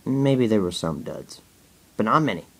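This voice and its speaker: A young man talks casually at home, answering questions from his viewers. His voice sits on the low side, and he stutters, often breaking off and restarting his words between fillers. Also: sing-song, normal speed. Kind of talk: casual Q&A talk to camera.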